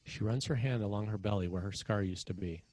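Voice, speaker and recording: Low, dry-sounding male screenplay narrator. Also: a little fast, warm tone, dry recording. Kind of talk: scripted narration of screenplay action.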